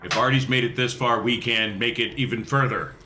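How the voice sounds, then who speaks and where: A man's voice: mid-range voice, fairly even intonation, brisk, bright, forceful tone, poor audio. A man playing a tabletop game in casual, joking chat.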